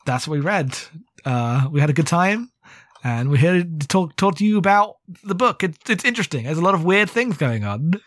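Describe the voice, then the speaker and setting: Wry man, slightly high voice. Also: animated, normal speed, dry recording, studio quality. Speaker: podcast co-host. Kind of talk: casual book discussion.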